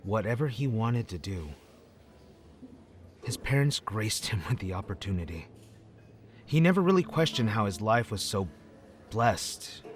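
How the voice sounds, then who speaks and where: A man's voice: on the low side, animated, a little slow, pauses often, slight background noise. An actor playing a wry, jokey man with a troubled past, talking in a casual, slangy, hesitant way in an audio drama. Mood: reflective, somber. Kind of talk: acted audio drama dialogue.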